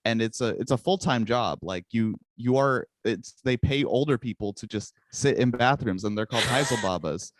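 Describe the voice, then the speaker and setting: A man's voice: mid-range voice, moderate pace, hardly any pauses, dry recording. A young man whose first language is Czech, chatting casually as a guest musician, rambling and joking at his own expense. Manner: rambling, self-mocking, laughs often. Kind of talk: casual interview chat.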